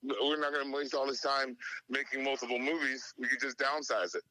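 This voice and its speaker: A man calling in to a podcast over speakerphone, giving casual opinions in a halting, repetitive, sweary way. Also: medium pitch, sharp tone, no room sound, poor audio. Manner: halting, repeats words, sweary. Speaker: podcast call-in guest. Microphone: phone call played on speakerphone.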